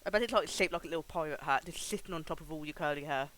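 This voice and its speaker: Casting: male streamer, fairly high bright voice, casual play-along commentary, dryly jokey asides. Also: rapid-fire, low-quality recording. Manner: wry, joking asides.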